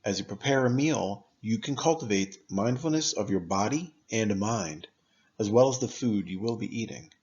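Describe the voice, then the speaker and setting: A man narrating a scripted guided meditation in a measured, instructive manner, pausing deliberately between phrases. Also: lowish voice, animated, a little mellow, highs cut off.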